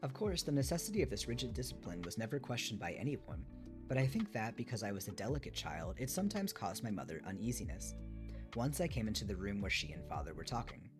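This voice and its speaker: Male streamer, lower voice, measured book narration with clear guitar backing. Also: sing-song. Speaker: streamer reading a book aloud. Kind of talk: live read-aloud of a memoir, with casual asides. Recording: music behind the voice. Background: guitar music.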